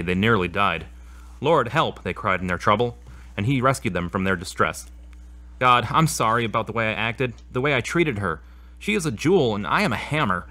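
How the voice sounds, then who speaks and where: Man acting low-voiced troubled, remorseful guy. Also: sharp tone. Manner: remorseful, hesitant. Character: troubled, self-blaming young man at odds with his girlfriend. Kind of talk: audio drama acting.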